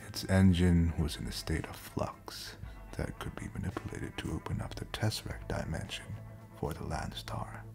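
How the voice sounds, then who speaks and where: A very deep, gravelly, ancient space captain. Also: male voice, darkish tone, soft background music. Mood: grave recounting. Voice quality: gravelly, breathy near-whisper. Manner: slow, solemn, deliberate storytelling. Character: ancient, brooding, homesick spacefaring captain with powers, coldly resolute. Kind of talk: scripted audio roleplay drama.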